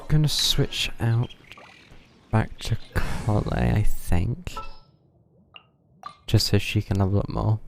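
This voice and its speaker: A man's voice: lowish voice, animated, slow pace, vocal fry, pauses often, over music. A man giving casual, rambling live commentary as he plays a game. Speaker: game streamer.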